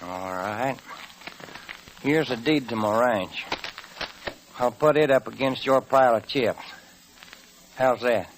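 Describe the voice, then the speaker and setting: A man's voice: mid-range voice, takes it slowly, pauses often, low-quality recording. An American man playing a young, hot-headed, plain-spoken rancher with folksy cowhand talk in a vintage radio Western. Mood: determined. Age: young adult. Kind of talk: 1940s radio western acting. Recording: old radio recording, faint hiss.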